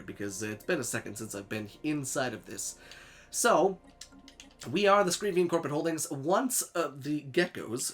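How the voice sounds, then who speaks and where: Young male gaming host, slightly high voice, stuffy from a cold, chatty casual let's play delivery. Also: fairly bright tone. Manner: chatty, upbeat, laughs easily. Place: home in Sweden.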